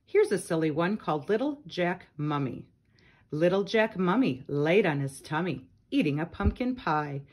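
A woman's voice: lowish voice, lively intonation, moderate pace, a little mellow, breathy edge. A woman reading children's nursery rhymes aloud from a picture book, speaking to young listeners. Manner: addresses young listeners with questions and short comments.

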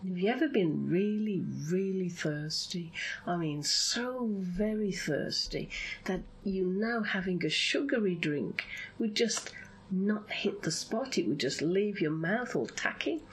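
A woman hypnotherapist guiding a visualization in a soothing, drawn-out way, often slipping into a breathy whisper. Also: fairly low pitch, expressive, slight background noise, rough recording. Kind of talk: hypnosis session, guided visualization.

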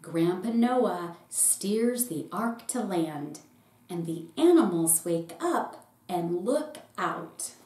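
A middle-aged woman, a pastor, reading a children's picture book aloud in short, deliberate phrases. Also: fairly low pitch, lively intonation, dark tone. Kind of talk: storybook read-aloud for children. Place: small indoor room with bookshelf. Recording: roomy echo with faint background noise.

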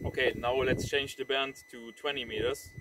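A man in his thirties doing a casual outdoor radio demonstration, repeating short phrases clearly. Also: medium pitch, steady tone, normal speed. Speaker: amateur radio operator presenting his antenna. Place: outdoors on a windy farm road. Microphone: camera a few steps away. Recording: distant mic with wind and outdoor noise.

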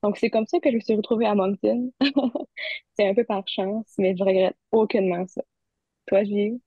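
A woman's voice: mid-range voice, steady tone, normal speed, slightly rough, no room sound. A young woman student from Ontario chatting about her studies, talking easily and laughing often. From Barrie, Ontario, Canada. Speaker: university student guest. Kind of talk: casual conversation. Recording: dry, phone-like audio.

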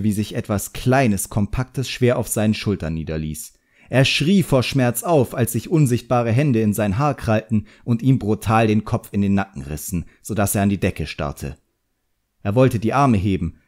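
A man's voice: lowish voice, dark tone. A man narrating a literary audiobook in a dramatic storytelling style.

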